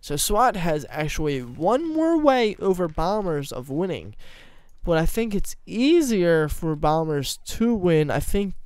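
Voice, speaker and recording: A young man with a slightly high, smooth yet hoarse-edged voice rambles through casual gameplay commentary into a close desk mic. The sound is dry and close. He mocks himself and breaks into sudden loud laughing bursts. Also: sing-song, measured pace, no room sound. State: voice going hoarse. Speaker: gaming YouTuber. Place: small room at home.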